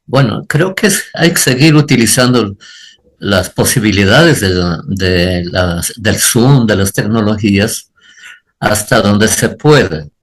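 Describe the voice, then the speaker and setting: An older pastor speaks in a lowish voice. He answers earnestly and circles back, repeating phrases to press a point home. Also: slow. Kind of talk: panel discussion answers.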